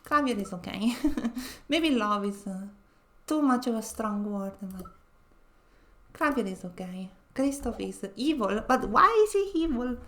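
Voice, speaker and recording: A woman with a nasal, slightly high voice talks casually while streaming a game. She rambles in a chatty way and often breaks into laughter between phrases. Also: lively intonation, a little slow, a bit bright. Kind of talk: casual let's-play commentary.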